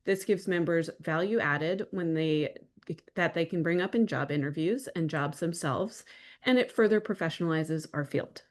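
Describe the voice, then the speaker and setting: A woman's voice: sits low, normal speed. A woman in her thirties presenting on a video call in a plain, matter-of-fact way, with some ums. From New York, USA. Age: 30s. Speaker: panelist, archival producer.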